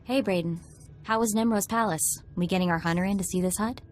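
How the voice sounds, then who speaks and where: A woman playing a young, eager tech slicer who talks in a quick, chatty, teasing way in video game dialogue. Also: medium pitch, slight background noise, natural voice. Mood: perky. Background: faint game music.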